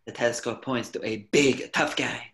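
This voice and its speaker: A man's voice: mid-range voice, fairly even intonation, moderate pace, fairly bright tone. A man reading stage directions as scripted narration at a table reading.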